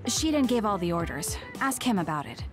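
A woman's voice: mid-range voice, very animated, a little fast, music in the background, lightly acted. A woman playing a young soldier in an anime game, composed, cool and dry.

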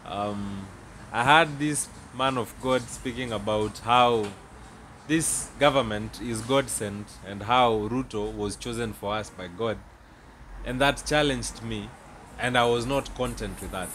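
A young man with a Kenyan accent, speaking formally and deliberately as an interviewer into a handheld microphone. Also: medium pitch, fairly bright tone, slight background noise. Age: young adult. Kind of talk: measured, formal discussion introduction. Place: seated indoors in a small studio set. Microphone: handheld microphones close to the mouth.

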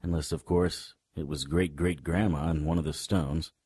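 Sardonic tough-guy noir detective, very deep, dry close sound, for radio drama. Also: steady tone, normal speed, darkish tone, breathy edge, no echo. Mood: wry, world-weary. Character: hard-boiled male private detective, sardonic and threatening.

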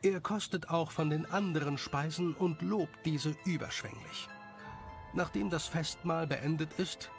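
Male story narrator: fairly high, creaky voice, scripted reading over music.

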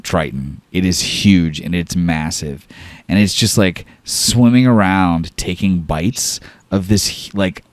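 A man's voice: sits low, slow, no echo. A man narrating an improvised tabletop sci-fi horror game as game master, in a casual, profane, dramatic way.

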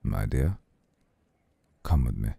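An ancient vampire lord, acted by a man, speaks in an extremely low, husky rumble. He is slow, formal and seductive, with cool courtesy and a possessive edge. The ASMR roleplay is recorded dry and close. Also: animated, mellow, no echo, heavily acted. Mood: soothing, seductive. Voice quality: very deep, gravelly.

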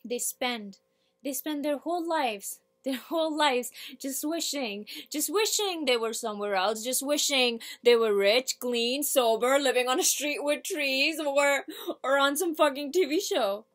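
A woman performing a theatrical monologue as a hurt, defiant, foul-mouthed young working-class woman, repeating herself haltingly as she builds. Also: high-pitched, fairly bright tone, pitched above the performer's own voice. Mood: angry, defiant. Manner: halting and repetitive, building to outbursts, swearing.

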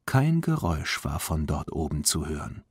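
Animated man narrating a children's story. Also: rumbling low, moderate pace, slightly rough, no room sound, studio quality. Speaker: audiobook narrator.

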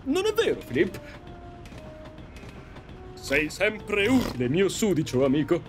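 A man's voice: high-pitched, wildly swooping pitch, takes it slowly, soft background music, full character voice. A man voicing a doting, pompous male fairy in an audio drama, with theatrical, effusive delivery. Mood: courteous, jovial. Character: flamboyant, doting, grandiloquent male fairy in the afterlife.

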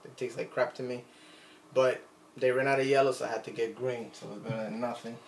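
A man's voice: medium pitch, flat delivery, brisk, slight background noise. A man in his thirties with a Puerto Rican accent, chatting in a rambling, self-deprecating way while making a drink in his kitchen, filmed on a phone camera on the counter. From Puerto Rico. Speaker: vlogger. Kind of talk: casual chat while cooking. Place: home kitchen, indoors. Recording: echoey kitchen with faint ambience.

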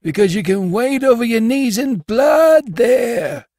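A man's voice: very high-pitched, expressive, darkish tone, no echo, clean studio sound, voice pushed up, exaggerated voice. A man voicing a shrivelled, quarrelsome old London cabman with a sour, irritable manner in a storybook reading. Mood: belligerent. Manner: sour, irritable, drawn-out emphatic delivery.

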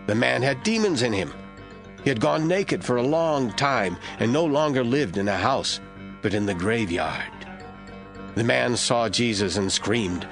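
Animated male Bible narrator over music. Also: medium pitch, measured pace, creaky. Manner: expressive storytelling. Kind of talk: scripted dramatic Bible narration.

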